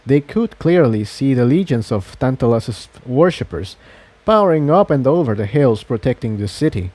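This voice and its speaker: A male narrator reads adventure fiction in a dramatic audiobook style. His voice is mellow and mid-pitched, with animated intonation. The sound is dry and close. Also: no echo.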